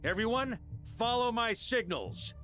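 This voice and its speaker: Bright, commanding knight leader. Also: male voice, extremely high pitch, big pitch swings, unhurried, faint music behind, heavily acted. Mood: wry, commanding. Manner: commanding, rallying. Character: hearty commanding adult male knight grandmaster, battle-ready leader. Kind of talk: video game voice acting.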